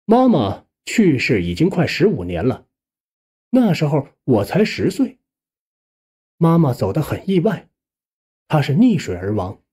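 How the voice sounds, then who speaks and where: Dark, husky storyteller with swooping pitch. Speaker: audiobook narrator. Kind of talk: scripted narration of a suspense ghost novel.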